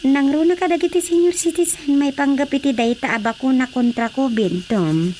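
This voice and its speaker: An actress playing an earnest young village woman in a Filipino radio drama. She has a high voice and a Filipino accent, recorded with faint background noise. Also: normal speed, a little mellow, close to an everyday voice. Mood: explaining. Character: earnest village daughter explaining local worries.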